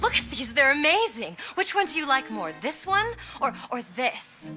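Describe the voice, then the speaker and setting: An actress playing a sweet, eager young woman companion in a video game, with a girlish, earnest and expressive way of talking. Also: high voice, normal speed, heavily acted. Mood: delighted. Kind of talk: scripted video game dialogue. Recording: game audio with music behind.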